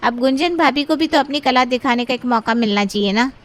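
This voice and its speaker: A woman's voice: high voice, fairly quick, a bit bright. A woman playing a scheming, haughty young sister-in-law in a Hindi cartoon drama, boastful and sly. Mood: sweetly sly. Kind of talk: Hindi moral-story cartoon dialogue.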